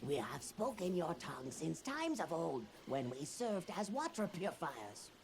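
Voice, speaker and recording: A woman's voice: low voice, sing-song, a little slow, creaky, background noise, exaggerated voice. A woman voicing a small, proud forest creature in a video game, a quaint trader with pompous, broken, theatrical speech. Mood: self-important. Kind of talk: video game character dialogue. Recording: game audio with loud background ambience.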